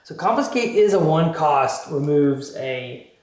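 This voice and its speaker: A man's voice: on the high side, animated, measured pace, darkish tone. A man coaching on a live card game show in casual strategy chat over a roomy voice call.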